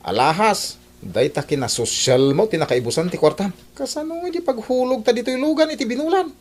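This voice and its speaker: A man's voice: high-pitched, animated, fairly quick. A man with an Ilocano accent acting a blunt, scolding husband worried about money in a comedy radio drama. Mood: exasperated.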